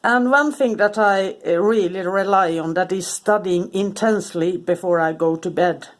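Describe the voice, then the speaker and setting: A woman with a cold, sniffling, giving a casual, halting talk full of ums and restarts. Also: on the low side. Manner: hesitant, many ums and restarts. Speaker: spiritual content creator. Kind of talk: casual informal talk to camera.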